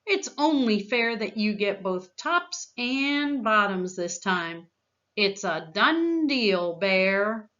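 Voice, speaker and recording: A woman's voice: mid-range voice, expressive, takes it slowly, fairly bright tone, muffled recording. A woman voicing a clever, cheery male storybook hare who coaxes and calls out in a sing-song way. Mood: coaxing. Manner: sing-song calling, coaxing.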